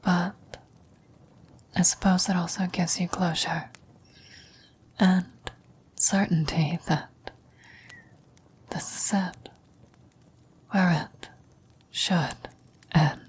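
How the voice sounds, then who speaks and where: A woman playing a frail, dying old woman in a whispered ASMR roleplay, gentle, wistful and philosophical, speaking slowly and haltingly. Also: fairly low pitch, fairly even intonation, a little hiss or hum. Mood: weary, resigned. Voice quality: breathy whisper. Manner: slow, halting, reflective delivery with long pauses.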